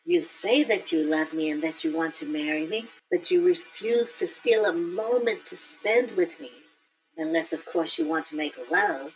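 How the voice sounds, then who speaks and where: A woman's voice: low voice, moderate pace, lightly acted, low-quality recording. A woman voicing a neglected fiancée who reproaches her busy lover. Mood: hurt. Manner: reproachful, pleading.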